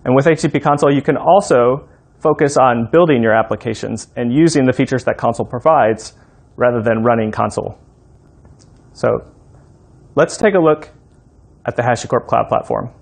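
A man in his thirties walks through a software demo. His voice is mid-pitched with plenty of vocal fry, and he explains things one step at a time. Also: moderate pace, long pauses. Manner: instructional, step by step. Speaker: software engineer, demo presenter. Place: room in a home.

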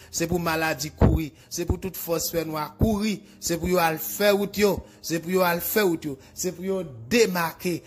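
A man with a Haitian accent delivers a preacher's prayer in short, declarative phrases. His voice sits a little higher than most men's. He repeats his lines like an incantation, with music clearly playing behind him. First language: Haitian Creole.

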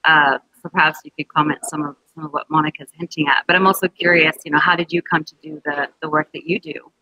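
A woman's voice: deep voice, fairly even intonation, fast, bright, forceful tone, dry recording, poor audio. A woman moderating a video call panel, introducing guests and asking encouraging questions. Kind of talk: hosting a panel discussion. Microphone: video call mic.

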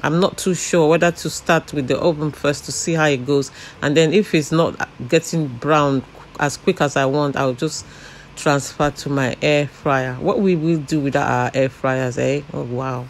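A man casually talking through a home cooking recipe step by step, relaxed and chatty with frequent fillers. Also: fairly high pitch, moderate pace, a little hiss or hum. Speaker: cooking channel host.

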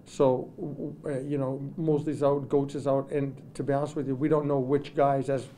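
Middle-aged guy coaching hockey, postgame presser, mellow kinda dark voice, keeps restarting, faint hum behind. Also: mid-range voice, level tone. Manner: halting, many restarts and "you know". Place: press room with sponsor backdrop. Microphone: table microphones in front.

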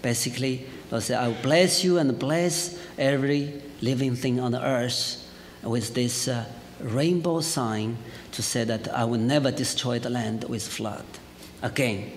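A man's voice: mid-range voice, measured pace. Chinese-accented man preaching in a hall. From China. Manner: lively storytelling, laughs often, frequent fillers. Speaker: pastor. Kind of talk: sermon with personal testimony. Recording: church hall reverb, faint hiss.

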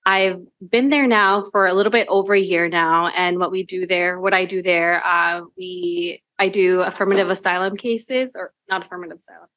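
A woman attorney introducing herself on a panel, quick and self-correcting, at a table microphone in a hearing room. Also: fairly low pitch, fairly even intonation, a bit bright, no room sound. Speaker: staff attorney. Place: large law school hearing room.

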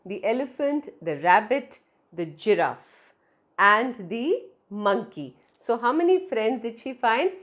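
Expressive high-voiced Indian woman storyteller. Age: middle-aged. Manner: expressive, deliberate, addresses children directly. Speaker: children's storyteller. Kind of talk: storytelling for young children. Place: small indoor room. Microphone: clip-on lapel mic.

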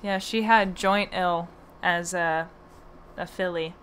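A woman's voice: lowish voice, fairly even intonation, slow pace, a bit bright, slight background noise. A woman hosting a podcast about her horses, talking casually with plenty of hesitant fillers. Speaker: podcast host and horse owner. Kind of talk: casual conversation.

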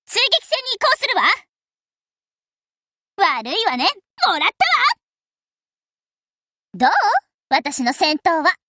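A woman's voice: very high voice, sing-song, slow, pauses often, sharp tone, a little hiss or hum, exaggerated voice. An actress voicing a spirited, confident teenage warship girl in an anime game, with a perky, cheeky, self-assured delivery. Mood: fierce, confident. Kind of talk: anime game character voice lines.

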